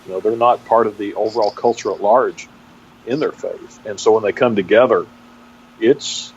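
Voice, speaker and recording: A middle-aged man with a Texan accent giving a reflective interview as a guest, speaking haltingly and searching for his words. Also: on the low side. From Texas, United States. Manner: halting, searching for words with repeated false starts. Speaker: interview guest, pastor and seminary teacher. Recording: dull, band-limited audio with faint noise.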